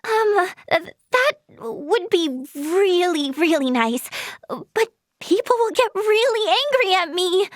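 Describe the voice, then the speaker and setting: A cheerful young female healer from a video game. Her voice is high and girlish, with lively, bouncing intonation in a dry, close recording. She is earnest and bubbly, and trips over her words when flustered. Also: slow pace, no echo, exaggerated voice. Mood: flustered, embarrassed. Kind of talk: animated video game voice acting.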